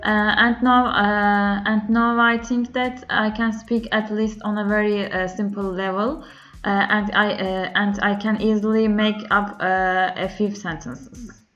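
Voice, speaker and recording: Slow, halting woman with Turkish accent. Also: mid-range voice, flat delivery, a bit bright. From Turkey. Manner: halting, many filler "uh"s. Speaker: language learner. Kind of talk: learning diary vlog. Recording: slightly dull lo-fi sound.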